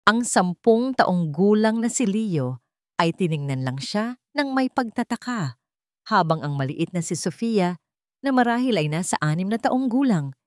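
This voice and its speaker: A woman's voice: medium pitch, darkish tone, no echo, clean studio sound. A woman reading a scripted romantic drama story as its narrator.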